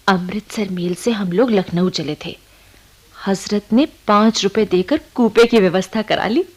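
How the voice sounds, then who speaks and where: Happy young bride, slow pace, medium pitch, coy, dreamy, giggly, letter reading in radio play. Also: female voice, a little mellow. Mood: coy, amused. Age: young adult. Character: coy, happy newlywed bride confiding in friend.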